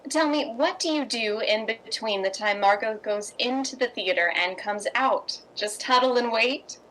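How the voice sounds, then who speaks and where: A woman's voice: a little high, moderate pace, fairly bright tone, close to an everyday voice, poor audio. A woman playing a kindly, worldly playwright's wife in a 1950s radio drama. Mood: friendly, brisk.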